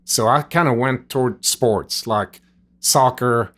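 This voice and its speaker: A man's voice: medium pitch, takes it slowly, a bit bright. A middle-aged man from Switzerland, a native French speaker with a Swiss French accent, earnestly telling his life story as a podcast guest, rambling with "like" and "you know". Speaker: guest, nutrition store owner. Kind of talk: storytelling interview answers. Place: gym.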